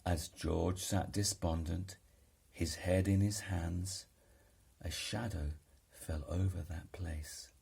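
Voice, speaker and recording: A man reading a children's story aloud in an expressive, dramatic and deliberate way. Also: low voice, creaky, husky. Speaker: storybook reader.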